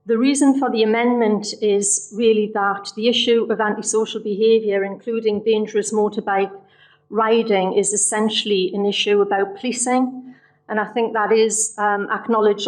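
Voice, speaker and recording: Woman councillor, level monotone, slightly strained voice; council chamber address. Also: medium pitch, rough recording. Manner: measured, steady delivery with frequent ums. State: losing her voice. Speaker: councillor moving an amendment. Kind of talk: council meeting speech.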